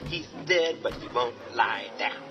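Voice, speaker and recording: A man's voice: mid-range voice, lively intonation, measured pace, close to an everyday voice. An actor playing a sardonic young man who teases his sister with spooky whispers, in a radio drama. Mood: spooky teasing. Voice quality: sneering, teasing spooky whispers. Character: mocking young man, brother, teasing and sardonic. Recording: dull radio drama with music.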